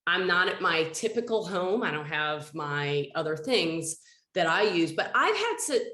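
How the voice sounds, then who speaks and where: A woman host with a head cold, her voice low and stuffed-up. She talks in an earnest, rambling way, correcting herself mid-sentence. The sound is roomy and echoey, like a computer call. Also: big pitch swings, a little slow, bright, forceful tone. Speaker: podcast host. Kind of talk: conversational interview. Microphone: computer video call. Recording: echoey room.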